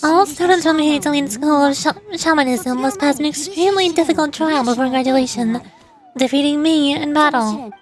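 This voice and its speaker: A woman's voice: high voice, a little fast, natural voice, poor audio. A woman voicing a proud, prickly and easily flustered female shaman elder from a game. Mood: proud, scornful. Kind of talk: game dialogue read aloud.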